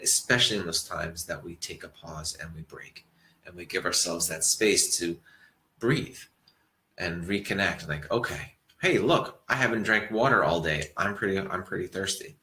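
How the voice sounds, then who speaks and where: Deep-voiced man in an echoey room. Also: moderate pace. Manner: relaxed, rambling, thoughtful with frequent restarts. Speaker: acupuncturist giving a mindfulness talk. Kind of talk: reflective talk to an audience.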